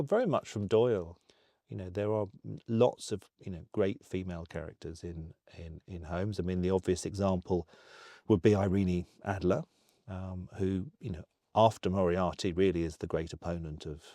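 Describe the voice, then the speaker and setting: Deep mellow writer guy, thoughtful interview chat, stops and starts a bit. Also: moderate pace, dry recording, studio quality. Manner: thoughtful, hesitant.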